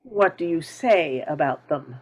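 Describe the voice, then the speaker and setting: Woman voicing an elderly landlady, shrewd, unhurried, lively pitch. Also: poor audio. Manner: probing, haughty. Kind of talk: table read.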